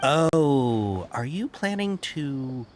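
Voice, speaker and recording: A man's voice: medium pitch, sing-song, extremely slow, a little mellow, slight background noise. A man playing an eager, grateful caravan merchant in a video game, with an obliging manner. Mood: relieved, obliging.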